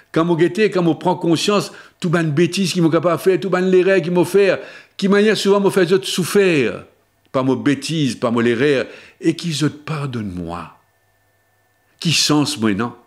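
An older man giving a Lenten sermon to camera from a small studio, slowing down to stress his points and shifting his pitch often. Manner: shifts between spoken pitches, slowing for emphasis. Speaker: preacher. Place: small studio before a blue backdrop, seated at a table. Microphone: table microphone on a stand.

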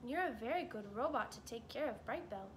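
A shy young beaver boy who speaks softly and quietly, as a woman voices him. The tone stays bright despite how hushed he is. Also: fairly high pitch, expressive, fairly quick, slight background noise. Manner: quiet, soft-spoken.

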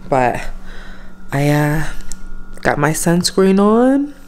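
A woman's voice: low voice, big pitch swings, extremely slow, a little mellow, clear, resonant tone. A young woman with a stuffy nose chatting casually through her day for a vlog, talking to a handheld camera in her apartment and car. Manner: chatty, rambling, with many fillers. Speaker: vlogger. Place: apartment and then a parked car. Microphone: handheld camera at arm's length.

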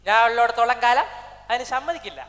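A man's voice: extremely high pitch, quick pace, a bit bright. A man playing a self-important politician as a comic sidekick on stage, exaggerated. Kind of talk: stage comedy skit acting. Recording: echoey stage hall with music, distant.

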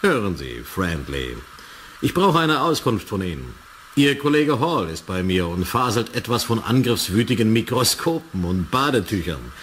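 Station commander, low voice, very animated delivery, sardonic, condescending, sci-fi radio play. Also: male voice, normal speed, a little hiss or hum. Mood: stern, sardonic. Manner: condescending, mocking. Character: smug, sardonic male military station commander. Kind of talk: German radio play acting.

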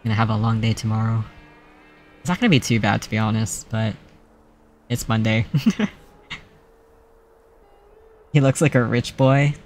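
A man streaming a video game, signing off with soft-spoken casual chat, laughing often and talking in a flat, even way. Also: lowish voice, moderate pace, long pauses, slight background noise. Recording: faint game music behind.

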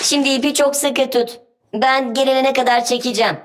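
A woman's voice: high-pitched, steady tone, normal speed, natural voice. A woman voicing a cheerful, helpful young robot companion in a children's cartoon, chirpy, reassuring and a little teasing. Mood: instructive. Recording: faint music behind the voice. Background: faint cartoon music.

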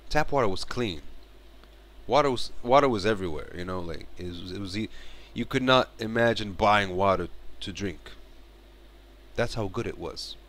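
A man's voice: lowish voice, expressive, normal speed, a bit bright. A man in his thirties from Morocco, talking earnestly to camera on a headset mic in a bedroom, often repeating and restarting phrases. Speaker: vlogger. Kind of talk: casual spoken commentary to camera. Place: bedroom, small indoor room.

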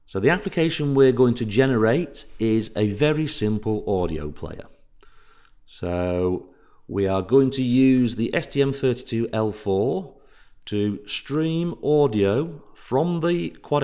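A man's voice: medium pitch, expressive, slow, mellow. A man giving a technical workshop presentation as an instructor, explaining step by step in an informal way.

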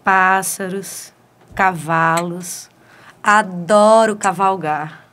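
A woman's voice: fairly low pitch, steady tone, extremely slow, a bit bright. A woman playing a flirtatious, self-assured seductress in a radio soap opera.

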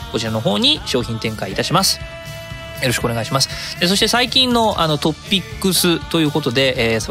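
Animated man hosting a casual web radio show. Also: a little high. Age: 30s. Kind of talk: casual comic chat and announcements.

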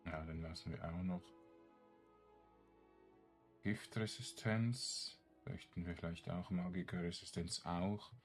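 A man giving casual game commentary, thinking aloud in a halting mutter as he plays. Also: deep voice, fairly even intonation, faint music behind. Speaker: gaming streamer.

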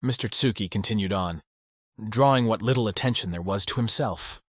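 A man playing a cynical, anxious high school boy, narrating a visual novel in a deadpan, grumbling way. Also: a little fast, breathy edge, no echo, studio quality. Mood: anxious, sardonic. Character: cynical, anxious, foul-mouthed male high school student. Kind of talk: first-person visual novel narration and dialogue.

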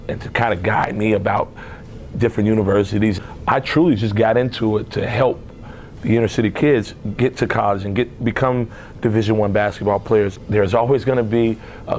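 A man's voice: fairly low pitch, a little mellow, slight background noise. An American man in his forties speaking emphatically as a coach in an interview, repeating phrases for stress. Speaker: assistant basketball coach. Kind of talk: on-camera interview about his career. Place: indoor athletics office, seated before camera.

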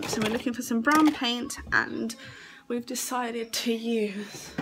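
A young mum with a stuffy, congested voice talks slowly and casually into her phone at arm's length, drifting from one thought to the next with frequent ums. Her voice sits a little high, and the home recording carries a noticeable hiss. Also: gravelly. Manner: chatty, rambling, lots of ums. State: congested with a sore throat. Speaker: vlogger, mother. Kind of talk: casual home vlog to camera. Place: small house, sofa, kitchen and garden. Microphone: phone camera at arm's length.

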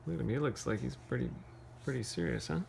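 A man reading a picture book aloud to children, playfully, pausing for casual questions and asides. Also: mid-range voice, gravelly, a little mellow, slight background noise. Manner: playful, pauses to ask questions. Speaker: read-aloud storyteller.

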